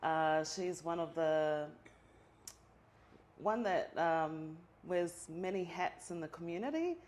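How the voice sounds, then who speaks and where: Slow-talking woman host. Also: deep voice, animated, darkish tone. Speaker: host introducing a guest. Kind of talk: casual introduction.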